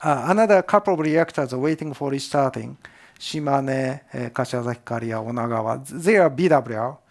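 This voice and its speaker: A man with a Japanese accent, a professor answering seminar questions in halting English and repeating words for emphasis. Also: mid-range voice. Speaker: professor, expert speaker.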